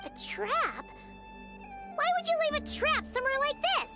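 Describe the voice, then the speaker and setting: Squeaky, tiny excitable fairy companion. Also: female voice, normal speed, loud music behind the voice, full character voice. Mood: startled. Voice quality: squeaky, childlike. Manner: exclamatory, chirpy, expressive. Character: Paimon, tiny excitable childlike floating fairy companion, female. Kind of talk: animated video game voice acting.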